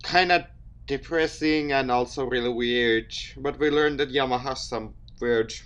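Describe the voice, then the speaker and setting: A man doing casual let's play commentary and reading visual novel text aloud, with an expressive delivery. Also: mid-range voice, unhurried. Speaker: gaming video host.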